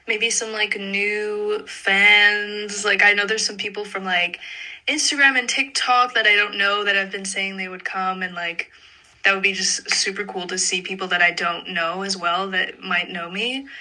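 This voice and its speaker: Bright, sharp-voiced young woman. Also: mid-range voice, steady tone, measured pace, poor audio. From Canada. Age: young adult. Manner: informal, peppered with "like". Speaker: pop singer being interviewed. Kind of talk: casual chat.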